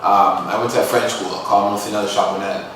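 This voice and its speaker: A man's voice: on the low side, level tone, fast, a bit bright, slight background noise. A young man from Toronto, a musician and poet, talking earnestly and casually about his life as a podcast guest. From Toronto, Canada. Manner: earnest, reflective, street-casual phrasing. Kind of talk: casual interview talk. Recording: echoey room, voice far from mic.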